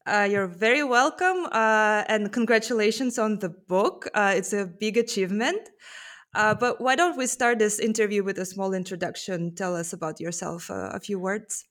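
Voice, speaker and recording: A woman's voice: medium pitch, normal speed, a bit bright. A woman hosting an interview, introducing her guest politely from a script with small fillers. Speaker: podcast host.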